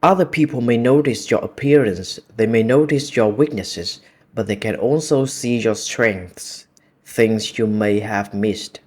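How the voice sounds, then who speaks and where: A man with a Vietnamese accent whose native language is Vietnamese, talking in a calm, measured, reflective monologue. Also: on the low side. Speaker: video essay host.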